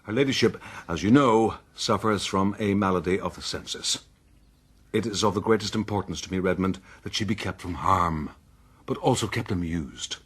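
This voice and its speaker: A man playing a cold, controlling gentleman who rules wife and servants with formal commands in a period radio drama. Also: low voice, moderate pace, slightly rough. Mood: insistent, guarded. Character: cold, controlling gentleman husband, master of an estate.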